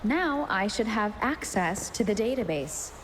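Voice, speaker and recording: A woman's voice: mid-range voice, expressive, measured pace, noisy background, natural voice. A woman voicing a composed, determined young adventurer in a video game, with music behind her.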